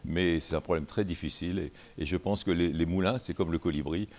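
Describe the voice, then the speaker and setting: A man speaking at a public round table for a mill owners' association, halting and full of restarts. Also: low-pitched, a little mellow. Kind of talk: panel talk.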